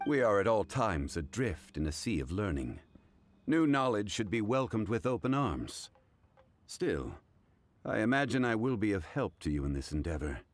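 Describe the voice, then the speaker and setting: A man voicing a calm, learned and modest gentleman who speaks formally and courteously. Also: lowish voice, normal speed. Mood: composed. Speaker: funeral parlor consultant. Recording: game dialogue over music.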